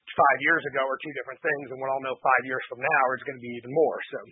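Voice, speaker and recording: A man hosting an online talk radio show, congested from allergies and a little raspy, explaining things in a relaxed, rambling way. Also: mid-range voice, fast talker, dry recording. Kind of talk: casual talk radio chat. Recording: dry, tinny phone-like line.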